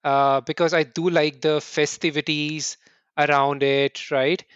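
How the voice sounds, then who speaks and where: A middle-aged man from India, answering interview questions earnestly in quick bursts and often restarting with "right?". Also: fairly high pitch, little pitch movement, a bit bright, clear, resonant tone, dry recording, highs cut off. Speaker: podcast guest.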